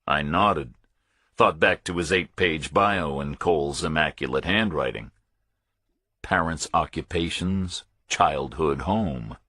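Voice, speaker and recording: A man's voice: a bass voice, takes it slowly, pushed and bright, breathy edge, no room sound, studio quality. A man narrating a first-person thriller audiobook in a terse, matter-of-fact way.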